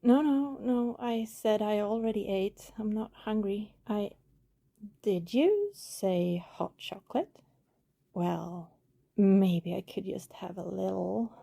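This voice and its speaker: A woman acts out a friendly vampire passing as the shy girl next door in an intimate ASMR roleplay. Her voice is very dark and mellow, breathy and laced with vocal fry. She rambles softly and apologetically, at an unhurried, slow pace. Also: mid-range voice. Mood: bashful, cozy. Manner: hesitant, rambling, soft-spoken. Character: friendly, apologetic female vampire posing as shy night-shift neighbor.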